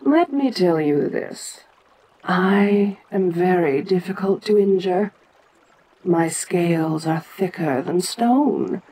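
A woman's voice: fairly low pitch, lively intonation, takes it slowly, dark tone, slightly rough, heavily acted, low-quality recording. A woman playing an ancient giant kaiju queen in an audio roleplay, proud and curious, with a domineering, stately manner. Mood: proud, menacing. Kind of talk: dramatic audio roleplay addressed to the listener.

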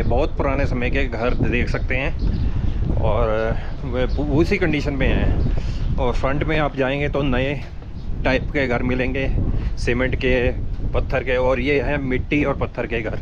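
A middle-aged man from Delhi talks casually over a helmet camera while riding through mountain villages. His mid-range voice comes through dull and muffled, with clear wind and road noise all around. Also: mid-range voice, fairly even intonation, unhurried. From Delhi, India. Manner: chatty, describing sights while riding. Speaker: motorcycle travel vlogger. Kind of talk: casual travel vlog narration. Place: outdoors on a motorbike in mountain villages. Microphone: helmet-mounted action camera.

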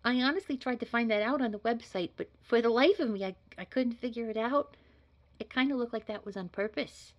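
A woman's voice: on the high side, a little fast, dull audio, lightly acted. A woman playing an earnest, modest job candidate in an audio drama, talking in a chatty, hesitant, polite way. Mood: nervous, earnest. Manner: hesitant, rambling, informal, polite. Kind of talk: naturalistic scripted audio drama dialogue.

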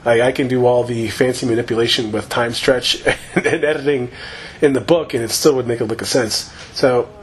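A man chatting casually and with plenty of swearing as he plays, wandering from point to point. His voice is a touch hoarse from talking too long, sitting at an everyday middle pitch. A faint hiss runs under the home recording. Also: fairly even intonation, normal speed. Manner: loose, profane, rambling. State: slightly hoarse. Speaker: gaming YouTuber. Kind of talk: casual gameplay commentary.

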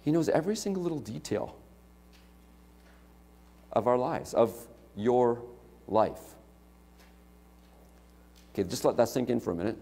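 A man's voice: mid-range voice, animated, unhurried, creaky, long pauses, dark tone. A middle-aged man preaching a sermon in a church, talking conversationally and checking in with listeners with "okay?" and "right?". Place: church sanctuary, large indoor hall. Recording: long reverb of a church hall.

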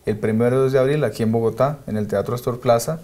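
A man's voice: medium pitch, fast talker, dark tone. Young man rattling off details. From Colombia. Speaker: singer guest. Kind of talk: listing tour dates. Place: TV studio.

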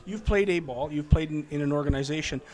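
A man interviewing players after a game, asking brisk, upbeat questions. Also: on the high side, darkish tone. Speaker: team TV interviewer.

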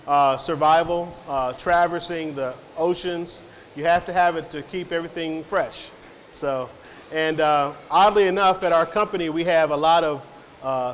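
Middle-aged man, company rep at a public Q&A, chatty and loose, recorded from afar in a reverberant hall with faint noise. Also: on the high side. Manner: friendly, rambling, many fillers and restarts. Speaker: company representative answering audience questions. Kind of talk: informal public Q&A talk. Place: large hall of a science center, indoors.

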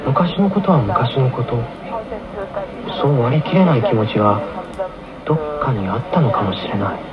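A man's voice: medium pitch, sing-song, moderate pace, lightly acted. A man acting an earnest, flustered, jealous teenage boy in an anime radio drama, hesitant and boyish. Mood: brooding narration. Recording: muffled AM radio recording. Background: music.

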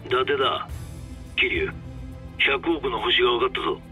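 A man playing a brisk, serious middle-aged caller in a video game, speaking bluntly over a phone. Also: mid-range voice, expressive, over music. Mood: urgent. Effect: phone call. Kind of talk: dramatic video game cutscene acting.

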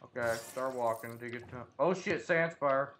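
A man's voice: medium pitch, normal speed, fairly bright tone, a little hiss or hum. A man chatting casually with a friend as he comments on a video game, with slangy, sweary talk. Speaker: gamer in a co-op let's play.

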